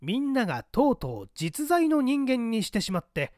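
A man's voice: very high voice, wildly swooping pitch, normal speed, a little mellow, dry recording, clean studio sound, pitched above the performer's own voice, exaggerated voice. A man voicing a hearty, pompous older bureau chief in an audiobook, talking in a grand, scornful, patronizing way. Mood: teasing, patronizing. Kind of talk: audiobook character acting.

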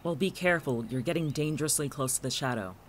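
A man's voice: a little high, fast talker, a little hiss or hum, natural voice. A man playing a guarded, watchful friend in an audio drama, speaking conversationally with dry, exasperated sarcasm. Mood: wary, teasing. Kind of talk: naturalistic audio drama dialogue.

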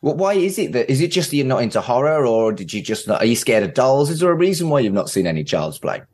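A man hosts a podcast in a casual, chatty way. His medium-pitched voice rises and falls in animated swings, and he slips in wry, self-mocking asides. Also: fast talker, slightly rough. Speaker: podcast host.

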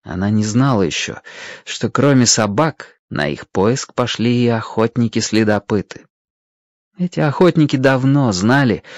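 A man narrating a scripted children's story in a measured, expressive way. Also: on the low side, no echo.

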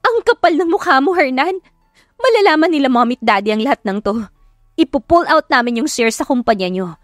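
A woman's voice: high-pitched, expressive, a little fast, no room sound, studio quality, pitched above the performer's own voice. A woman voicing a betrayed, heartbroken and defiant wife in an audio drama, emotional and accusing. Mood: furious, vowing. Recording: music behind the voice.

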